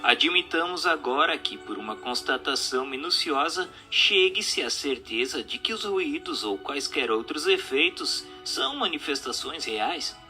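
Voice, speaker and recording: A Brazilian man reading a scripted audiobook narration in a deliberate, articulate way with emphatic phrasing. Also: fairly high pitch, animated, music in the background.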